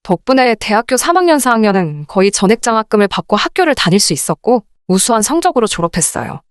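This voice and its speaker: Female story narrator, polite and confiding, lively intonation, crisp clean voice, dry close sound. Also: on the high side, quick pace, no echo. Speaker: narrator of a radio-drama story channel. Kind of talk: scripted first-person story narration.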